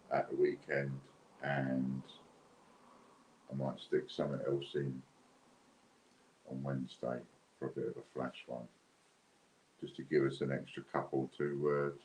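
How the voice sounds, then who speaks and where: Deep-voiced British man hosting a livestream. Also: little pitch movement, measured pace, pauses often, darkish tone. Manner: chatty, informal, rambling. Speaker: giveaway livestream host. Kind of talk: casual unscripted livestream chat. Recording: dull lo-fi, roomy echo, clear background noise.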